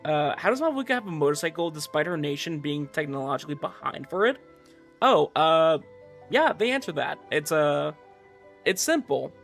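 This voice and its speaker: Guy streamer with a lighter high-ish voice going off exasperated at chat, repeats himself, game music behind him. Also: normal speed, vocal fry. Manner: animated ranting, exasperated, swearing, repetitive emphasis. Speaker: game livestreamer. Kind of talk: casual livestream commentary, chatting with viewers.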